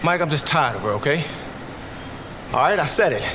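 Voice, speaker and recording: An actor playing a weary, defensive husband in a film, speaking curtly and with irritation. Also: male voice, fairly high pitch, expressive, moderate pace, background noise, lightly acted. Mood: irritated, defensive. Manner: curt, irritated. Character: weary, defensive husband fed up with his wife. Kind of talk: naturalistic film dialogue.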